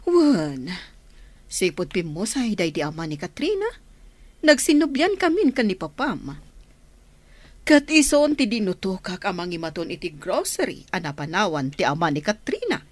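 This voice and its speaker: Casting: bossy, harsh aunt in a radio drama; sharp and commanding, very animated, close dry sound. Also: female voice, medium pitch, normal speed, no room sound. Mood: calm reassuring. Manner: scolding, commanding. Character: harsh, bossy aunt who bullies her niece, softer with her daughter. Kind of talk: Ilocano radio drama acting.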